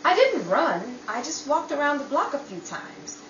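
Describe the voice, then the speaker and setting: A high, bright-voiced jealous housewife played by a woman from a 1930s radio comedy. She is sharp-tongued, wounded and theatrical. The sound is roomy and distant, with a little noise behind. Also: sing-song, moderate pace, dull audio. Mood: hurt, downcast. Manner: sarcastic, theatrical. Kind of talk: old-time radio comedy-drama acting.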